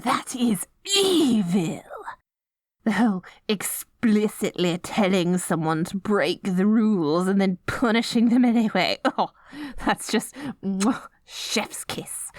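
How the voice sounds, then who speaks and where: A woman's voice: medium pitch, no room sound, studio quality. A woman playing a short, dorky evil queen in a scripted audio roleplay, melodramatic and gleefully scheming, given to petty indignant outbursts. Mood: dreamy admiration.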